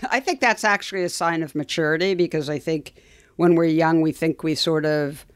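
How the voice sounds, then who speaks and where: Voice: mid-range voice, moderate pace, clean tone, no room sound. A podcast host chatting with a co-host and interviewing a guest, enthusiastic and chatty, with plenty of fillers and restarts. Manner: enthusiastic, warm-hearted, chatty with many fillers. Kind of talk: conversational podcast chat.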